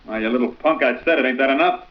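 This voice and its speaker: A man's voice: medium pitch, fast talker, lightly acted. Man playing a cocky, slangy 1940s gangster bully. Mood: menacing. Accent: American. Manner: slangy, sneering tough-guy talk. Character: cocky bullying small-time gangster, hero to street kids. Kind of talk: 1940s radio acting. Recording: muffled old 1940s radio recording, faint noise.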